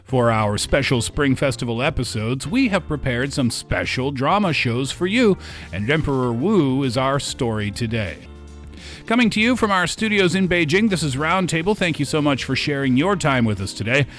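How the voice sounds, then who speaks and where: Male presenter for show intro, animated intonation, direct address, music underneath. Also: medium pitch, moderate pace. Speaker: radio show host.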